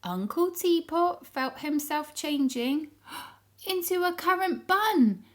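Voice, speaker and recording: A woman reading a story aloud to young children in an expressive storytelling style. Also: sits high. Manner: pauses to ask the child listeners questions.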